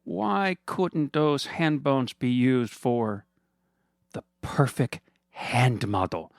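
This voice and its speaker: A man's voice: mid-range voice, very animated, very slow, vocal fry, dry recording, voice pushed up, exaggerated voice. Brief: preening villain with a raised, lilting voice, very dry close sound, drawls and purrs with mock sweetness, a man putting it on. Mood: smug. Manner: theatrical, purring, condescending. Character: smug villainous male hand model. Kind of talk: comedic storytelling voice.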